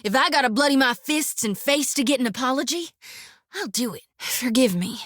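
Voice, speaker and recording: A woman voicing a devout, quick-tempered young priestess in a video game, folksy and blunt. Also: high-pitched, expressive, fairly bright tone, dry recording, clean studio sound. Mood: rueful. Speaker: companion character. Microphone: dry studio mic.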